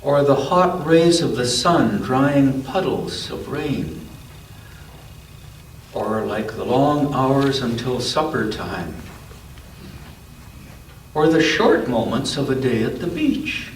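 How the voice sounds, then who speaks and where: A man's voice: mid-range voice, expressive. A man reading a picture book aloud to children at a church story time, breaking off for asides and questions about the pictures. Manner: pauses to ask listeners questions about the pictures. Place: roomy church interior. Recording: echoey room, distant mic, background noise.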